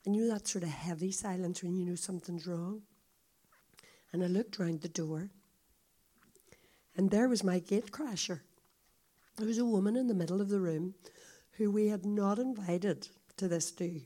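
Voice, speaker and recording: A woman with a croaky, hoarse voice, a visiting speaker telling a story to a congregation in a chatty, confiding way. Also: mid-range voice, moderate pace, long pauses, mellow. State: hoarse, coughing.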